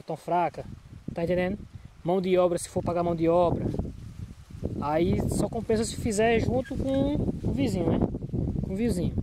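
A Brazilian man with a Northeastern accent, a little hoarse from a cold, chatting casually for a farm vlog in a folksy, repetitive way. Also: sits high. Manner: folksy, repeats himself, frequent check-in tags. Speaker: small farmer vlogging from his field. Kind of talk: casual handheld vlog chat. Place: outdoors in an open cornfield. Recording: noisy outdoor handheld recording.

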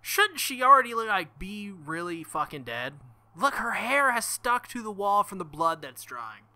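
A young man hosting a visual novel playthrough, reading narration and commenting in an excitable, joking, profane way. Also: high-pitched, unhurried. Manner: excitable, swings to loud outbursts. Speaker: let's-play host.

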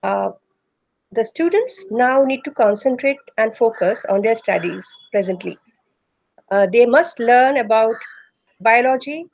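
Indian woman on a webcam call, slightly high voice, steady answers with lots of uh pauses. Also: rough recording. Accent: Indian English. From Northeast India. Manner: measured, with frequent "uh" pauses. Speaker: embryologist guest. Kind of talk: video call interview answers. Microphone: video call webcam.

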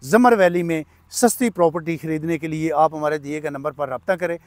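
A middle-aged man with a Pakistani Urdu accent, giving a fluent, persuasive real-estate sales pitch to camera outdoors on a lapel mic. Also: brisk, dry recording. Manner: fluent, persuasive, steady listing of prices with frequent "uh" fillers. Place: outdoors at an open housing plot, sunny.

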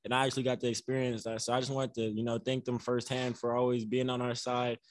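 A soft-spoken young man answers reporters at a postgame press table, relaxed and easygoing, with lots of "you know" and "like". His voice sits in a middle pitch. The sound is dry and close on a table mic. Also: fast talker, no room sound. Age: young adult. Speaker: college basketball player. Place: press room before a banner. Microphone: table mic on a stand.

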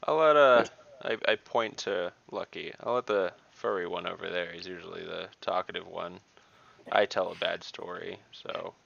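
A man's voice: sits low, moderate pace, gravelly, a bit bright. A man playing a tabletop game, making casual, wry in-character banter. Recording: dull lo-fi call audio.

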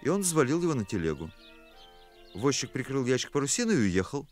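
An actor playing an awkward, fastidious, earnest young musician in a radio play, hesitant and polite. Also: male voice, medium pitch, very animated, soft background music, lightly acted. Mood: disgusted. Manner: hesitant, polite, easily indignant.

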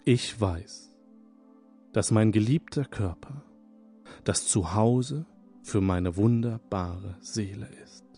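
A man leading a guided hypnosis session, speaking in a calm, soothing, repetitive manner. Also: lowish voice. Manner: slow, soothing, hypnotic, repeats phrases. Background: soft background music.

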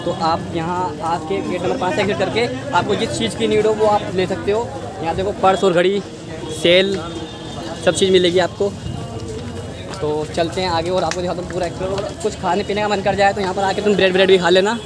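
A man's voice: sits high, fairly even intonation, fairly quick. A young man in his twenties vlogging as he walks a busy outdoor street market, chatting to viewers and repeating prices, filmed on a handheld phone. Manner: chatty, addresses viewers as guys and brother, asks prices and repeats them. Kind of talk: market walk-through vlog. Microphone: handheld phone at arm's length. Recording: loud street crowd behind.

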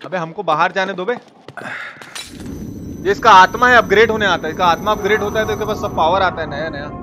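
High-pitched Bihari man, salty gamer talk. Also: quick pace. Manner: salty, colloquial, swearing. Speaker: game streamer. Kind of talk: casual live gameplay commentary. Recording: clearly noisy background.

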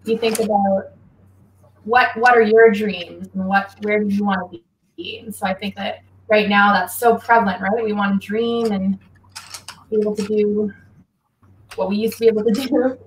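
A young woman giving a relaxed, chatty drawing lesson, explaining each step while she draws at home, speaking away from a laptop mic. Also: medium pitch, normal speed, roomy sound, a little hiss or hum, rough recording. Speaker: guest athlete teaching drawing. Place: small home room. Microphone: laptop mic from across the room, facing away.

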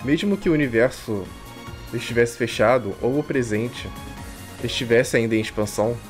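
A man's voice: medium pitch, moderate pace. A Brazilian man reading a visual novel aloud as a streamer, with irreverent, slangy commentary. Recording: game music behind the voice. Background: game music.

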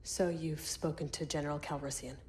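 An actress playing a disciplined, earnest military commander in a video game, speaking with crisp restraint. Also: female voice, low voice, steady tone, fairly quick, darkish tone, slight background noise, lightly acted. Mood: solemn, earnest. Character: disciplined, earnest female commander, defector soldier. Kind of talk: cinematic video game acting.

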